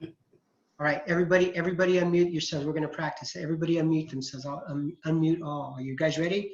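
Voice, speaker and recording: A man in his forties, a college accounting instructor, introducing himself to his class in a relaxed, chatty way over a video call. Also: fairly high pitch, fast. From California, USA. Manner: relaxed and chatty, with frequent fillers. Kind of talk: casual online class introduction. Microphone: computer mic on a video call. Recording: echoey, dull video-call audio.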